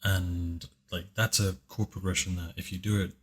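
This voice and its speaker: A man with a very deep, low voice, chatting casually in a commentary on his own video, speaking softly and hesitantly with many fillers. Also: level tone, normal speed. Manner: soft-spoken, hesitant, with many fillers and restarts. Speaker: video creator giving commentary.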